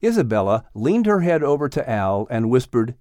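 A man narrating and announcing in a lively scripted storytelling style for children. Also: medium pitch, moderate pace, no echo, studio quality.

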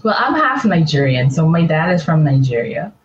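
A woman's voice: low-pitched, very animated, normal speed. A woman from the Bahamas, a podcast guest, speaking earnestly and building her points in repeated lists. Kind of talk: reflective interview answers. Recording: roomy, dull remote audio.

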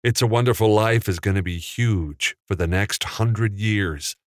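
A man voicing a bold young man who talks in a lively, teasing way. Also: fairly low pitch, measured pace, no echo, studio quality, voice pushed up, natural voice. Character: young man, time traveler on set, bold.

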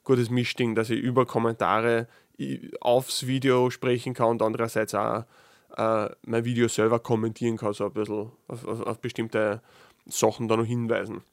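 A man with an Austrian dialect chats through his solo podcast at an ordinary middle pitch, often restarting his sentences as he thinks aloud. His asides come out dry and sarcastic. The recording is close and dead, with no room sound around him. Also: flat delivery, moderate pace, creaky, no room sound. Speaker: podcast host. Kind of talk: casual solo monologue.

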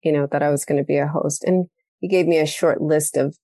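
A woman hosting a podcast, telling a story in a casual, animated way and acting out the quoted lines. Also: low-pitched, fairly quick, no room sound, studio quality. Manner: animated storytelling, acts out quoted dialogue, laughs often. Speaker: podcast host. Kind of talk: casual conversational interview.

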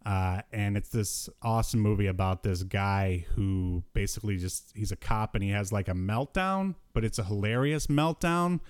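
A man hosting a podcast in casual conversation, talking in a halting, jokey way. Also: fairly low pitch, smooth, no room sound. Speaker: podcast host. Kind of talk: casual chat about cartoons and movies.

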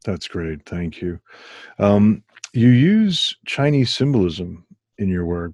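Host: low, dark-toned man, measured and hesitant, dry recording. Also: no room sound. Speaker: interviewer. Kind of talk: interview questions.

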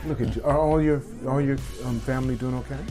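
Fast-talking man asking quick questions. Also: mid-range voice, warm tone, faint music behind. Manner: quick, probing follow-up questions. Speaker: interviewer. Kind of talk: casual interview.

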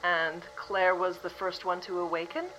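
A woman playing a calm official from the future. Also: fairly low pitch, moderate pace, faint music behind, close to an everyday voice, rough recording. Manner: composed, apologetic, matter-of-fact. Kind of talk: audio drama acting.

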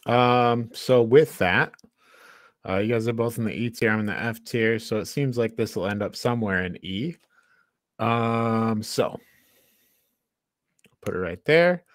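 A man's voice: fairly low pitch, a little slow, pauses often, no room sound, clean studio sound. A man hosting a podcast, talking casually as he reviews a film. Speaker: podcast host.

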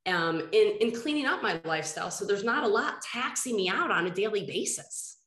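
A woman's voice: medium pitch, animated, fairly quick, a bit bright, echoey room. A woman hosting a podcast interview, a little nasal and congested from a head cold, talking earnestly and rambling with restarts. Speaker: podcast host. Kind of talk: casual interview chat.

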